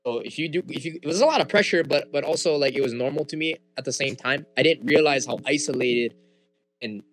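A man's voice: on the high side, quick pace, faint music behind, poor audio. Young Hawaiian man, native Hawaiian speaker, guest on a chat show; loose and easygoing. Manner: relaxed, frequent fillers and restarts. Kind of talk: casual interview chat.

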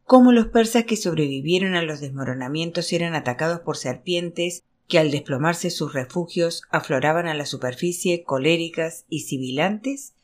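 A woman reading literary fiction aloud as scripted narration, in an expressive storytelling manner. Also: lowish voice. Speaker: audiobook reader.

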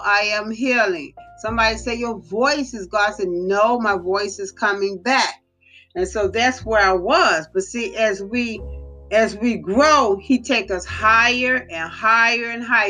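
A woman delivers an informal sermon for her devotional podcast, earnest and exhorting, leaning on repetition to drive points home. Her voice is bright and forceful, though a little hoarse, set at a middle pitch. A soft guitar plays faintly underneath. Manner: earnest, exhorting, repeats phrases for emphasis. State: slightly hoarse, recovering voice. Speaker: podcast host giving devotional teaching.

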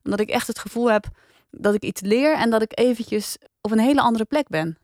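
A woman's voice: a little high, brisk, a little mellow, no room sound, studio quality. A woman with a Netherlandic Dutch accent, hosting a podcast panel in casual discussion. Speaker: podcast review panel host.

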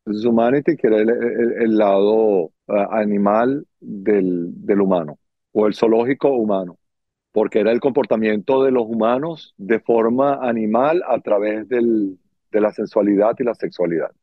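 A middle-aged man with a Venezuelan accent, telling stories as an interview guest in an animated, theatrical way and acting out bits of dialogue. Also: fairly low pitch, moderate pace, no echo, narrow, tinny audio. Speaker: guest, circus performer and dancer. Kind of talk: conversational storytelling in an interview.

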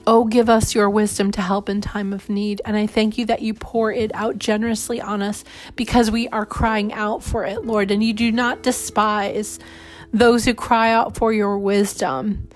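A woman's voice: medium pitch, level tone, normal speed. A woman leading a spoken congregational prayer in an earnest, pleading way, often repeating "God" and "Lord.". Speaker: prayer leader. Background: soft guitar music.

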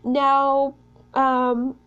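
High-voiced teenage girl podcasting. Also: monotone, drawn-out words, a bit bright, muffled recording. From Brazil. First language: Portuguese. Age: teens, sixteen. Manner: rambling, chatty, self-conscious. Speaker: podcast host. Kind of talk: casual solo podcast chat.

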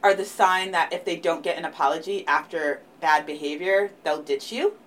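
A woman co-hosting a casual chat about astrology signs, thoughtful, trailing off softly and dropping playful quoted asides. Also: deep voice, moderate pace, far from the mic, slight background noise.

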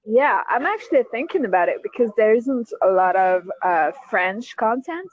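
A woman's voice: fairly high pitch, big pitch swings, moderate pace, no room sound, narrow, tinny audio. A young woman whose first language is Arabic, chatting on a call as a podcast listener, hesitant with many fillers and restarts. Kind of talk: casual call-in chat.

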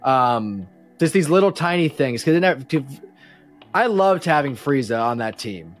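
A man's voice: medium pitch, big pitch swings, moderate pace, soft background music. A man chatting casually as a podcast guest, talking emphatically about things he is a fan of and swearing freely. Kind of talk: animated casual fan chat.

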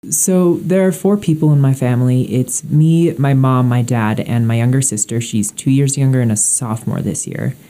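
A woman's voice: rumbling low, normal speed, few pauses, warm tone, soft background music. A teenage girl plainly describing her family in a matter-of-fact way. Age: teens. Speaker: student interviewee.

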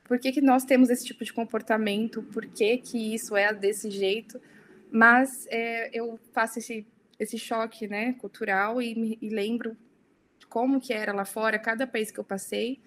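A woman's voice: a little high, fairly even intonation, unhurried, a little hiss or hum. A young woman speaking as a podcast guest, talking casually and often tagging her sentences with little questions. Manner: chatty, many tag questions. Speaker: interview guest.

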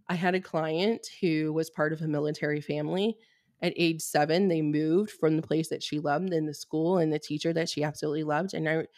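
A woman with a notably low, deep speaking voice, recorded close and dry. She talks as a Reiki fertility healer in a podcast interview and explains things steadily, plainly and bluntly, with many "um"s along the way. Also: dry recording. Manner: steady, explanatory, blunt at times, with frequent fillers.

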